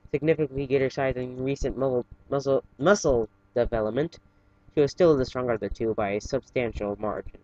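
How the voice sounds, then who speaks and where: A man casually reading fan fiction aloud in a halting way, stumbling and correcting himself, with chatty asides. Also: mid-range voice, a little mellow, muffled recording.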